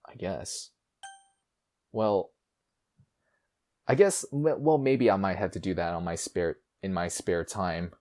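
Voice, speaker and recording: A man's voice: low voice, dark tone. A man hosting a gaming video, giving casual, informal playthrough commentary and reading aloud. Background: music.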